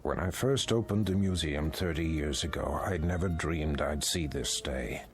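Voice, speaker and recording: An actor playing an older museum owner in an audio drama, authoritative and businesslike yet apologetic, with music underneath. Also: male voice, rumbling low, normal speed, slight background noise. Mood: reluctant, regretful.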